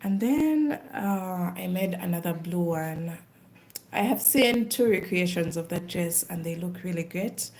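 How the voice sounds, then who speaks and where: Slow, low-pitched Ugandan woman. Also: animated, a little mellow. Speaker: crochet craft streamer. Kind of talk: casual live stream chat while crafting.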